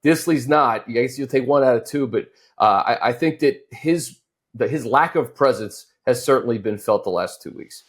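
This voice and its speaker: A man's voice: mid-range voice, normal speed, slightly rough. An American man hosting a casual sports talk show, posing points to his fellow analysts. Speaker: show host.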